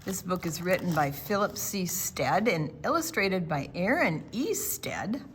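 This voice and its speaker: Slow, expressive woman reading aloud to children. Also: fairly low pitch, a little hiss or hum. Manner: slow, deliberate phrasing with questions and asides to the listeners. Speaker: library storytime reader. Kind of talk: expressive read-aloud narration for young children.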